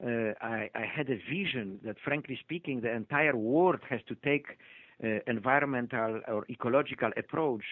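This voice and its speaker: A man's voice: mid-range voice, normal speed, no echo. An older man with a Polish accent, a doctor being interviewed, telling his story with many hesitations and restarts. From Poland. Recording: tinny phone-line audio, dry.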